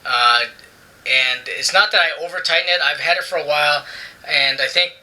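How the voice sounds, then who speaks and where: A man's voice: a little high, moderate pace, sharp tone, low-quality recording. A man casually reviewing his motorcycle helmet headset to camera from a hot indoor room, rambling with lots of fillers and restarts. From Canada. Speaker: motorcycle vlogger.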